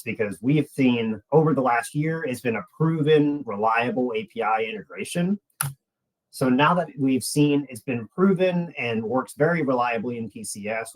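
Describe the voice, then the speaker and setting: A man's voice: mid-range voice, low-quality recording. A man giving a webinar presentation as a product presenter, explaining in a conversational way and addressing the audience directly.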